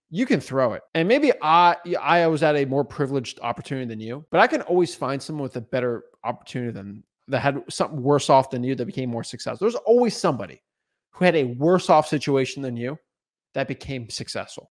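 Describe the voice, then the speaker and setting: A young man in his twenties talks straight into a podcast mic in a small home studio, his voice sitting a little high. He pushes hard, circling back to the same questions with lots of "right?" and "like.". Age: 20s. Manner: intense, repeats himself, frequent "right?" and "like". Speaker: real estate wholesaling coach. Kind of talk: unscripted motivational talk to camera. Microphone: podcast mic on boom arm in front.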